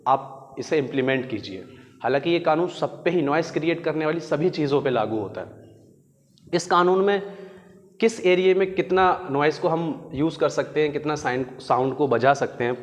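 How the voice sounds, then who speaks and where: A man with an Indian accent giving an explanatory lesson in a didactic way, restating terms as a teacher does. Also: a little high. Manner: didactic, glosses terms in Hindi and English. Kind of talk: explanatory educational lecture. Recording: echoey hall-like reverb.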